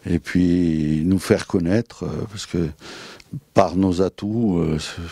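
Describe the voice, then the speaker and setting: A man's voice: low voice, slow pace, dark tone, no room sound. A man speaking as a local community council president in an interview, hesitant with many fillers. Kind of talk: interview statement.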